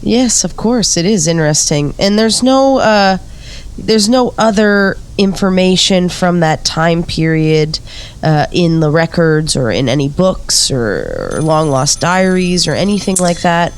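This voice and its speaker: A woman's voice: on the low side, big pitch swings, a little slow. A woman playing a polite, curious investigator in an audio drama, asking tentative questions in an attentive, natural conversational way. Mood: inquisitive. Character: polite, curious female investigator gathering an eerie account. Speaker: interviewer.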